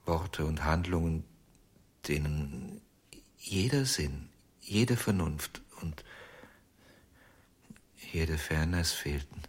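A husky, haunted ventriloquist. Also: male voice, a bass voice, heavily acted. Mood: confessional. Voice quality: husky, hesitant with many pauses. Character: haunted, obsessive male ventriloquist, middle-aged. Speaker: ventriloquist under questioning. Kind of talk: German radio drama.

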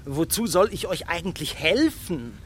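Man as a little tailor, very high voice, timid, stubborn, whiny, children's fairy-tale radio play. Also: sing-song, normal speed, fairly bright tone, husky, full character voice. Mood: petulant. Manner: petulant, plaintive.

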